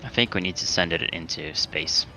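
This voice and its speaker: A man's voice: low-pitched, normal speed, gravelly, sharp tone, soft background music, rough recording. A man chatting casually as a player in a tabletop game.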